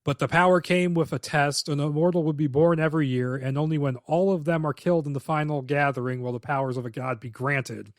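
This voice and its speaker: Man, podcast co-host, plot recap, blunt opinions, slightly high voice, dry close recording. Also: steady tone, a little fast, hardly any pauses, dry recording. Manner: recaps plot in detail, opinionated, casual swearing. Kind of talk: casual podcast discussion, summarizing a script.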